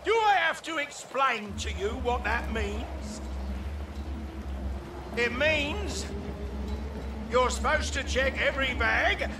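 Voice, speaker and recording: Mocking british gangster boss, really high swooping voice, menacing game villain, far off with noise. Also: male voice, animated, slow pace, long pauses, heavily acted. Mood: furious. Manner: taunting, theatrical, menacing. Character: sadistic, mocking male British crime boss. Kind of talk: video game voice acting. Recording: distant voice, clear background noise.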